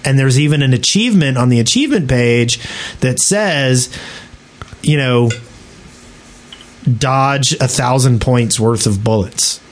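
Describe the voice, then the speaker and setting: A man's voice: mid-range voice, lively intonation, unhurried, a little hiss or hum. Man in casual podcast discussion. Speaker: podcast co-host. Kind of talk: casual speculative discussion of a video game update.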